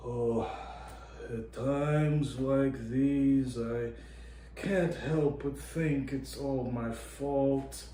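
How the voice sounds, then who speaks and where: A worried, regretful man, acted by a male performer, speaks slowly and haltingly in a radio play. The sorrowful voice sounds in an echoey room. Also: mid-range voice, fairly even intonation, mellow, far from the mic, slight background noise. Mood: guilty, praying. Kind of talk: radio play audition acting.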